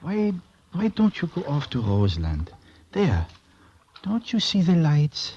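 A man playing a calm, coaxing and sinister castaway in a 1940s radio drama. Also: a little high, slightly rough, dull audio, exaggerated voice. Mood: quietly coaxing. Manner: measured, hushing, soothing. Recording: noisy, band-limited old radio recording.

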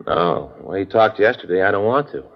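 An actor playing a plain-spoken police detective with an American accent, understated and matter-of-fact, in a 1950s radio drama. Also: male voice, deep voice, expressive, a little fast, gravelly, exaggerated voice. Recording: muffled old radio recording.

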